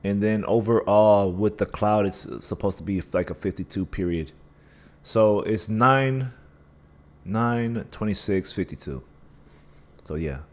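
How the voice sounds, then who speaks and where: A man's voice: lowish voice, measured pace. A man hosting a casual live stream, talking through chart analysis with a scratchy voice and a dry mouth, thinking aloud with many restarts. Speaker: livestream trading host.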